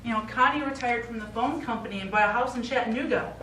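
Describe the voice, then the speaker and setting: A woman's voice: a little high, fast talker, pushed and bright. A middle-aged woman playing a practical, concerned daughter in a live stage play, coaxing with mild exasperation. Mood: puzzled, correcting. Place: small indoor theatre stage, recorded from afar. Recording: echoey theatre, distant mic, faint noise.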